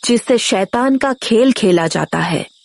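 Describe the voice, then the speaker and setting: A woman playing a grave, fearful village grandmother giving dire warnings in an animated horror story. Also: a little high, a little slow, creaky, no room sound, full character voice. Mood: grave, alarmed.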